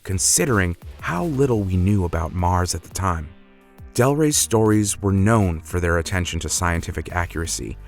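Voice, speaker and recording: A fairly deep-voiced man reads a scripted show introduction with music underneath. He comes across as a polished, earnest host addressing his listeners. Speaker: podcast creator and host.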